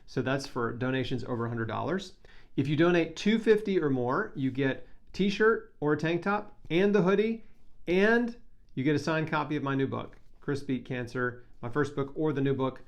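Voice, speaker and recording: Higher-pitched man, casual and earnest. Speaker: vlogger making a charity appeal. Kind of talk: casual talk to camera, fundraising pitch.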